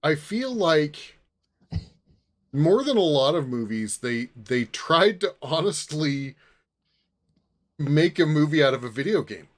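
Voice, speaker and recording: A man in his forties hosting a casual film discussion, thinking aloud in a halting way with repeated starts. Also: fairly high pitch, sing-song. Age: 40s. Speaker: podcast host.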